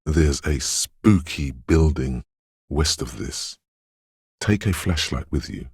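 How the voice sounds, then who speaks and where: A man voicing a brooding, dreamlike inner voice of intuition in a video game, speaking in a slow, hushed, portentous and theatrical way. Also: animated, no echo, studio quality, exaggerated voice. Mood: ominous, mysterious. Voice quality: very deep, husky, gravelly whisper-edged rumble. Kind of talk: dramatic video game voice acting. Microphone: close, dry studio microphone.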